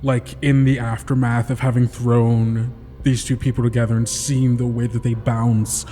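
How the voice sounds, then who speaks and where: A man improvising first-person narration as a player in a tabletop game, halting and thinking aloud. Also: medium pitch, little pitch movement, warm tone. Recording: long reverb, music behind.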